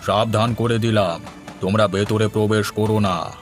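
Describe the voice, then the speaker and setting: A man voicing a solemn, humble holy hermit in a cartoon, measured, formal and admonishing. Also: fairly low pitch, fairly even intonation, soft background music, natural voice. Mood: stern. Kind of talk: Bengali moral-tale cartoon voice acting.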